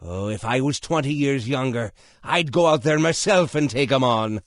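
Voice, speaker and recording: An actor playing a stern, protective older tavern keeper in a video game, blunt and no-nonsense. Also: male voice, medium pitch, lively intonation, normal speed, dry recording, studio quality, close to an everyday voice. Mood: gruff. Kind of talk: video game character acting.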